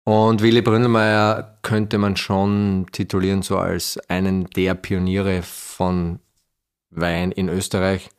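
A man's voice: low-pitched, flat delivery, slow, clean tone. A man with an Austrian accent from Styria, speaking casually and thoughtfully as an interview guest, feeling his way through his phrases. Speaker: podcast guest, winery managing director.

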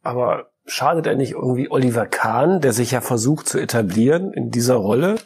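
A man's voice: mid-range voice, animated, a little fast, a little mellow. A man hosting a sports talk show, asking pointed, ironic questions of his guest.

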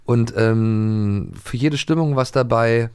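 A man's voice: medium pitch, slow, a little mellow, clean tone, no room sound, studio quality. A man, from the former East Germany, co-hosting a podcast in a playful, rambling, jokey way. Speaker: podcast co-host. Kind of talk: casual chat.

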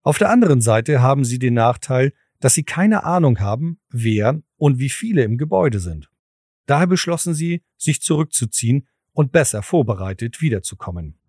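Male narrator, scripted audio drama storytelling, mid-pitched, close dry recording. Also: expressive, normal speed, darkish tone, no room sound.

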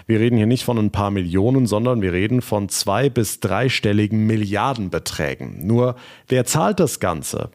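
A man's voice: on the low side, a little mellow. A man hosting a regional news podcast, presenting stories and chatting with reporters in a friendly, conversational way.

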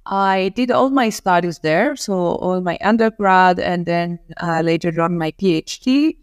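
Greek-born woman researcher, thirties, resonant and measured, dry recording. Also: on the low side, smooth, dry recording. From Greece. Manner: thoughtful, with frequent "uh" pauses. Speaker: guest researcher. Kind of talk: podcast interview.

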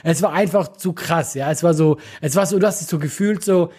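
A husky man with a Swiss German accent, his voice sitting a little high for a man. He chats casually, telling animated stories and laughing often. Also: brisk. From Switzerland. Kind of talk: casual chat.

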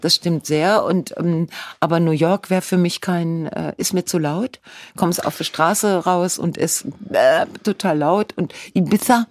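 A woman's voice: lowish voice, fairly even intonation, measured pace, a little mellow, dry recording. A woman in her fifties chatting playfully, laughing often as she reads horoscopes aloud. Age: 50s. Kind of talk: casual chat.

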